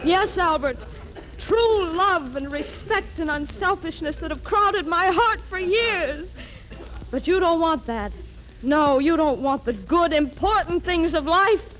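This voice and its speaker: Woman playing imploring lovesick heroine, high voice, very expressive, overwrought, 1940s radio melodrama. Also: a faint crowd, full character voice, low-quality recording. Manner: overwrought, imploring, dramatic pauses and repetition. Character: pleading, lovesick melodramatic woman in a romantic play.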